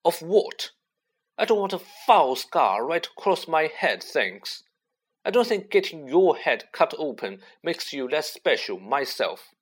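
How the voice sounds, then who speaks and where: A man's voice: a little high, sing-song, a little slow, breathy edge, voice pushed up, exaggerated voice. Man playing a sneering, smug schoolboy bully. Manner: sneering, scathing, mocking. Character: scornful, jeering schoolboy bully, spoiled and smug.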